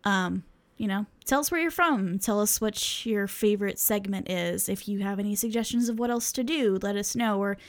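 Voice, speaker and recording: A woman's voice: mid-range voice, moderate pace. A young woman co-hosting a podcast, chatting casually with lots of fillers and laughs, swearing freely. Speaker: podcast co-host.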